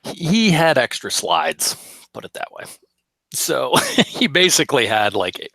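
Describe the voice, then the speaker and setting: A man's voice: medium pitch, wildly swooping pitch, measured pace, gravelly, pushed and bright, dry recording. A Canadian man co-hosting a podcast, chatting casually with wry, sarcastic jokes. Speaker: podcast co-host. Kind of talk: casual banter about shooting.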